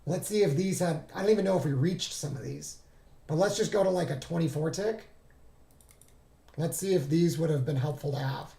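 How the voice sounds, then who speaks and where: Man, hoarse, gravelly fry, mellow tone, echoey room, halting self-correcting talk. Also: fairly high pitch. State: losing his voice. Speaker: trading streamer. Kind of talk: casual screen-share walkthrough.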